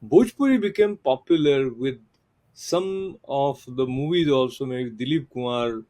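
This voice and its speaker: Mellow-voiced male TV interview host. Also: mid-range voice, lively intonation. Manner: measured, with frequent filler pauses.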